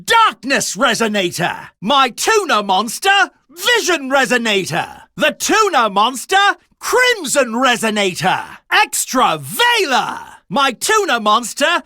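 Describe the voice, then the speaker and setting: Casting: an arrogant, imperious anime game champion, high-pitched and wildly swooping in delivery, grand battle declarations. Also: male voice, huge pitch swings, takes it slowly, few pauses, pushed and bright, dry recording, clean studio sound, heavily acted. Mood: bold, commanding. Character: proud, domineering male champion duelist summoning dragons and fiends. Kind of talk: theatrical anime video game battle callouts.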